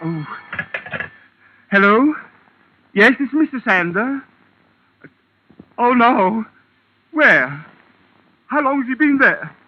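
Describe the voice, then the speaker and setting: A man's voice: very high-pitched, big pitch swings, slow, pauses often, heavily acted. An actor playing a fretful, flustered, easily indignant male department store manager in 1940s radio drama style. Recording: dull, noisy old radio recording.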